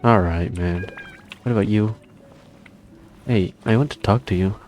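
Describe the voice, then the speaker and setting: Low-voiced man casually commenting on a game. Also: a little slow, darkish tone. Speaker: gamer playing a story quest. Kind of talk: casual let's-play commentary with read-out dialogue.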